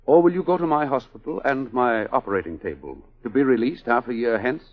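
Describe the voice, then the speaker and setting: An actor playing a suave, obsessed male surgeon in a 1940s radio drama, speaking in a deliberate, commanding way. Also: mid-range voice, animated, natural voice. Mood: intense, grave. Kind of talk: 1940s radio melodrama acting. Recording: muffled old 1940s radio recording.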